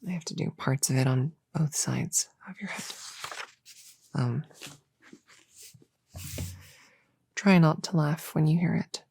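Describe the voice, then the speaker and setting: A woman playing an eager, slightly grumpy young novice witch in a soft-spoken ASMR roleplay, chatting casually and hesitantly to a friend in a breathy voice that often drops to a whisper. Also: deep voice, moderate pace, dry recording, heavily acted. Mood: focused, playful. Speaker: audio roleplay performer. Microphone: close microphone in a dry space.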